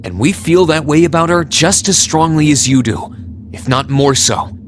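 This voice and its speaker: A man's voice: on the high side, normal speed, close to an everyday voice. An actor playing a polite, loyal and resolute young male student in a video game, speaking sincerely. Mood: earnest, firm. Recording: music behind the voice.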